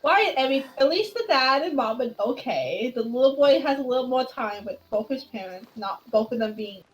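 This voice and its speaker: A woman streamer chatting casually and haltingly as she reacts to a game. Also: sits high, lively intonation, low-quality recording. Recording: game music behind the voice.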